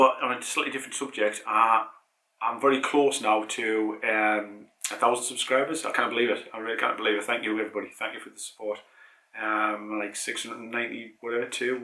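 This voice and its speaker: British man, stuffy with a cold, rambling review talk, fast, echoey room. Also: mid-range voice, pushed and bright. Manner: rambling, many ums and restarts. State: congested with a cold. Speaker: cycling channel host. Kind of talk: casual product review vlog.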